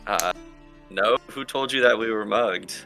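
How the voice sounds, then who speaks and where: A man playing a tabletop roleplaying game, chatting casually in a halting way and often repeating himself. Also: fairly low pitch, bright, forceful tone. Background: music.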